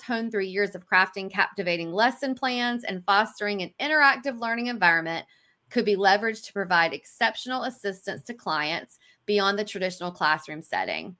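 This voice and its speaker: A woman's voice: mid-range voice, bright, forceful tone. A woman co-hosting a podcast in an enthusiastic, chatty way, introducing a guest on a video call. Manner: enthusiastic, chatty, laughs often. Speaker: podcast co-host. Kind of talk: casual podcast chat. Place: home studio, video call.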